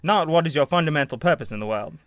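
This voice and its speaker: A man's voice: a little high, expressive, fast, fairly bright tone, dry recording, studio quality. An actor playing a fussy, literal-minded young fiancé who is defensive about his word choices. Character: pedantic young man, the client's fiancé.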